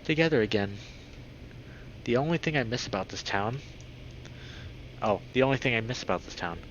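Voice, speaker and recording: Man reads big confident wolf guy for a visual novel, fond teasing old friend, mid pitch, noisy lo-fi sound. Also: animated, normal speed, fairly bright tone, natural voice. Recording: dull lo-fi mic with clear background noise.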